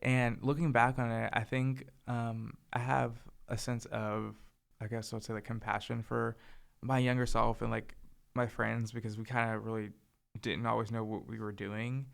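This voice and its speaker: Young guy, soft therapist-y voice, bit of vocal fry, lots of likes and ums. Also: medium pitch, level tone, moderate pace. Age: young adult. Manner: soft-spoken, hesitant, lots of "like" and "um". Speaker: podcast co-host. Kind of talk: casual chat.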